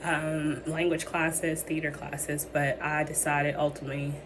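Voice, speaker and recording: A young woman gives a student presentation in a low, deep-set voice that is going hoarse. She hesitates often, filling pauses with ums and restarting her sentences. A steady hiss of background noise sits under the recording. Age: young adult. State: losing her voice. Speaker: student presenting a capstone project. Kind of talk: presentation with many fillers. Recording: noisy background ambience.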